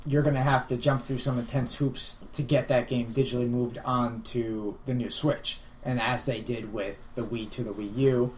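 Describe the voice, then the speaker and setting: A man's voice: medium pitch, little pitch movement, normal speed, hardly any pauses, slight background noise, rough recording. Assertive male podcast co-host. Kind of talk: casual discussion among friends.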